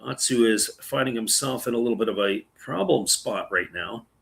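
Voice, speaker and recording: Gravelly-voiced man calling baseball live. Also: mid-range voice, normal speed. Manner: relaxed, chatty, reads viewer comments aloud. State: voice getting raspy. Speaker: play-by-play commentator. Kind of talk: live baseball play-by-play with chat reading.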